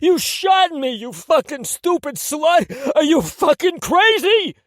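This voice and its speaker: A man's voice: extremely high pitch, sing-song, measured pace, no echo, studio quality, pitched above the performer's own voice, heavily acted. A man voicing a trapped, furious man who screams curses in panic. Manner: screaming, profane.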